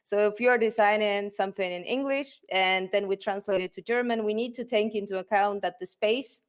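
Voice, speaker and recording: A woman whose first language is Spanish talks through design practice in an interview. She speaks thoughtfully, often repeating words as she searches for phrasing. Her pitch sits in a middle range. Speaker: UX designer guest. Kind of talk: interview answers. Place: lives in Germany.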